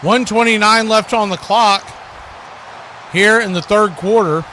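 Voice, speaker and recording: A man with a high, forceful voice calls a football game play by play as a live announcer. There is a clear layer of noise behind him. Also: unhurried.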